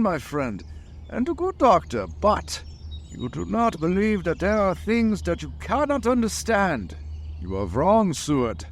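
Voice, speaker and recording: An actor playing a grave, insistent foreign professor who hunts vampires, speaking deliberately in vintage radio drama style. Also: male voice, high voice. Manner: deliberate, rhetorical questioning.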